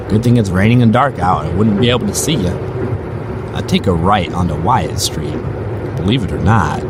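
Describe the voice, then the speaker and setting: A man playing a weary, musing rideshare driver who narrates eerie stories in a conversational, first-person audio drama style. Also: low voice. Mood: wry, uneasy. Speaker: narrator and lead character. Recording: clearly noisy, car and street ambience.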